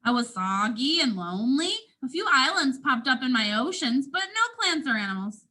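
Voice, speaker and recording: A woman's voice: high-pitched, big pitch swings, normal speed, sharp tone, full character voice. A woman with a North American accent voicing planet Earth as a proud, playful, ancient storyteller in a children's picture book, with dramatic emphasis. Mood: wistful, playful.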